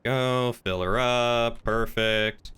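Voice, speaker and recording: A man's voice: mid-range voice, steady tone, extremely slow, bright, forceful tone, smooth. A man chatting casually as he streams a game, talking to viewers and other players.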